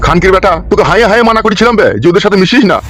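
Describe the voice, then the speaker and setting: A hot-tempered middle-aged father played by a man rages through a comic cartoon in a fairly high voice. He harangues and curses at a very rapid clip, scolding without restraint. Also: a bit bright. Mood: furious scolding. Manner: abusive, cursing, haranguing. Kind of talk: comic Bengali cartoon dub.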